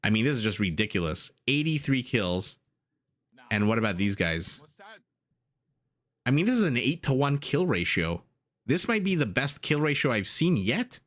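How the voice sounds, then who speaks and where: A man giving excitable live commentary on a strategy game, his voice raspy and his throat dry, with fillers and laughs. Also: medium pitch, normal speed, long pauses. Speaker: game caster. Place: home room.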